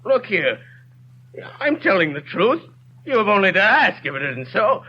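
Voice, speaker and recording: A simple old peasant stumbles over his words and pleads his innocence. The voice swings high and low. It comes through a dull, lo-fi 1930s radio broadcast with faint hiss. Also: sing-song, normal speed. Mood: pleading. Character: poor bewildered peasant pruner, man, older. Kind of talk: 1930s radio drama acting.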